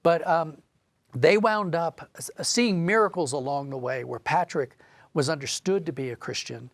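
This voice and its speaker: A man speaking as an interview guest, a writer explaining and telling stories in an animated, conversational way. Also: on the high side, moderate pace, dry recording.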